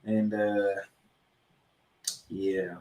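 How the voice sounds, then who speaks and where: A man co-hosting a sports podcast, previewing games and picks with drawn-out, emphatic announcer-style introductions. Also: lowish voice, little pitch movement, mellow, muffled recording. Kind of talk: college football game previews and picks. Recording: roomy, echoey sound.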